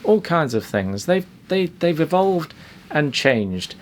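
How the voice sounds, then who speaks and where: A man's voice: a little high, big pitch swings, slow pace, slight background noise. A middle-aged man presenting an informal, unscripted educational talk on his own, often repeating and rephrasing his words as he explains. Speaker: presenter of a children's book guide.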